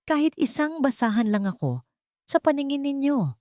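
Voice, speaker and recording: A woman's voice: sits high, big pitch swings, moderate pace, darkish tone, no room sound, clean studio sound, voice pushed up. A woman voicing a meek, wounded young wife with a trembling, pleading manner in an audio drama. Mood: quietly resolute.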